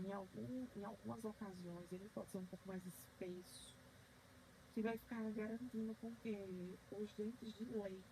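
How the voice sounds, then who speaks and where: A woman's voice: medium pitch, warm tone, slight background noise, low-quality recording. A woman lecturing on children's dentistry, explaining terms patiently and asking questions she then answers herself. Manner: didactic, poses questions and answers them, frequent "né?".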